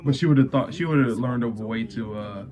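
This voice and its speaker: A man's voice: mid-range voice, quick pace, darkish tone. A man giving slangy, laid-back commentary in a casual reaction chat. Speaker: anime reaction host.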